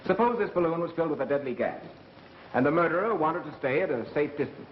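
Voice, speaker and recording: Guy playing calm wry american amateur detective, old 1930s movie, hissy dull soundtrack. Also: on the high side, fast talker, natural voice. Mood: composed. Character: calm, methodical young male radio engineer playing amateur sleuth. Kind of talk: 1930s film acting.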